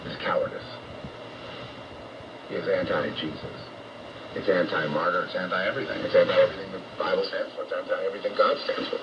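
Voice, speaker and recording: An American man preaching on shortwave radio in a blunt, confrontational, profane rant, halting and repeating himself. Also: deep voice. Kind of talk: unscripted ranting sermon. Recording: noisy muffled shortwave radio broadcast.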